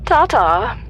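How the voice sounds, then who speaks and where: An actress playing a taunting, menacing female villain, with drawn-out phrases that sink into breathy near-whispers in an audio drama. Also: a little high, a bit bright, slight background noise, exaggerated voice, rough recording. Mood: gloating, teasing.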